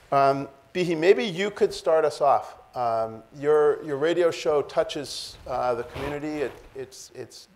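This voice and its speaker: A middle-aged man moderating a panel discussion from an auditorium stage. He introduces speakers in a friendly, halting way, filling pauses with "um" and "uh", heard through a lapel mic. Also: medium pitch. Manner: friendly and halting, with many fillers.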